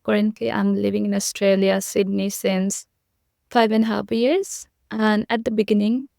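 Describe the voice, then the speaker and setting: A woman's voice: medium pitch, flat delivery, normal speed, creaky, warm tone, dry recording, studio quality. A young woman from Nepal, a podcast guest, giving earnest, repetitive conversational answers with frequent fillers.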